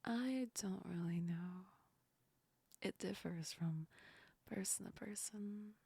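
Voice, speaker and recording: Girl doing a female sleep demon asmr whisper, teasing but sweet, mellow with some creaky edges, right up in your ear. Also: medium pitch, no echo, full character voice. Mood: apologetic, explaining. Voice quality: breathy whisper. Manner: slow, soft, close to the listener's ear. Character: teasing female sleep demon, menacing then apologetic and comforting. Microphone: very close ASMR microphone.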